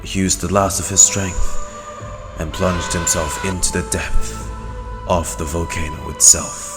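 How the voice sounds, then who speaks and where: Man putting on a slow, deep, solemn storyteller. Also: fairly even intonation. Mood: solemn, dramatic. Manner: slow, dramatic, portentous. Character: solemn deep male storyteller of a tragic legend. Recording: long reverb under clear music.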